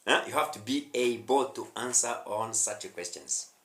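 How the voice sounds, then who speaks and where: A man's voice: medium pitch, lively intonation, normal speed, a bit bright, reverberant. A young Rwandan man with a Rwandan accent teaching a spoken English lesson, emphatic and often checking in with his listeners. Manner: emphatic, checks in with "huh?" and "hmm?".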